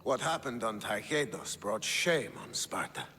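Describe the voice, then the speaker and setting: A man's voice: lowish voice, animated, measured pace, bright, forceful tone. An actor playing a scornful, commanding Spartan warrior king with a hot temper in a video game. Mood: angry, hostile. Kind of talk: dramatic video game acting. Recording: reverberant hall with ambient noise.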